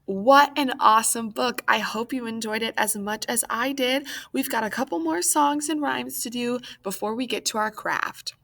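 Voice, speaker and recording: A woman's voice: a little high, normal speed, creaky, hardly any pauses, fairly bright tone. An American woman speaking as a children's librarian at storytime, encouraging and addressing young listeners as friends.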